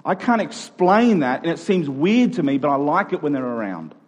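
Australian man preaching, higher-pitched voice. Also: very animated, moderate pace, lo-fi sound. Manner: informal, joking with the congregation, rhetorical questions. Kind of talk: conversational sermon. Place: live before a congregation.

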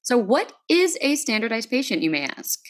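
A woman's voice: fairly high pitch, sing-song, normal speed. A woman hosting a podcast in a conversational interview style, with an informal, chatty manner. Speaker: podcast host.

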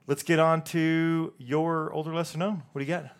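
A man co-hosting a casual music podcast, brisk and upbeat, moving the talk along. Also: fairly high pitch, flat delivery, clear, resonant tone. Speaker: podcast co-host. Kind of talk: casual chat.

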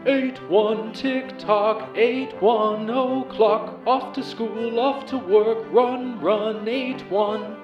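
A man's voice: high voice, fairly even intonation, very slow, hardly any pauses, roomy sound, highs cut off, music in the background, pitched above the performer's own voice. A man voicing an anxious talking house clock in a repeating singsong chant for an audio drama. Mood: chirpy, urgent.

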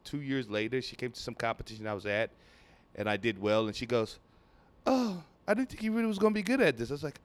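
A man from Nigeria, a comedian telling stories in a rambling, animated way during a casual podcast chat. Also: medium pitch, fast talker. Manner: rambling, animated storytelling with quoted voices. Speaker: comedian guest.

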